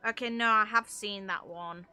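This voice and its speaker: A woman's voice: mid-range voice, normal speed, bright, forceful tone, clear, resonant tone. A woman chatting casually and bluntly on a live game stream, with some swearing. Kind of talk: casual live-stream chat while gaming. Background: video game music.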